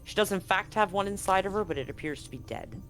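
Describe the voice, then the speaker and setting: A man narrating a live tabletop game as game master, conversational and often halting to correct himself. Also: sits high, animated, fairly bright tone. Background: music.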